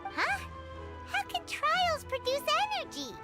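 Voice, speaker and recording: A woman voicing a bubbly, curious, childlike fairy companion in a video game, excitable in manner. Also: extremely high pitch, measured pace, full character voice. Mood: curious, puzzled. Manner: excitable, speaks of herself in third person. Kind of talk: video game character dialogue. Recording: game music behind the voice.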